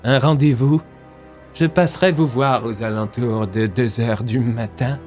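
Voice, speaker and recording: An actor playing a menacing bomber in an audio drama, speaking in a cold, controlled, chilling manner. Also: male voice, mid-range voice, fairly even intonation, a little slow, a little mellow, faint music behind, lightly acted. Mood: cold menace. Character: menacing male bomber stalking a journalist.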